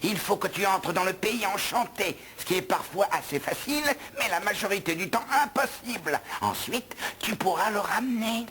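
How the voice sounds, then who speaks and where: A man voicing a grumpy, gluttonous house elf in an animated film dub, with a theatrical, grumbling, wry delivery. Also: high voice, moderate pace, few pauses, a little hiss or hum. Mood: solemn, knowing.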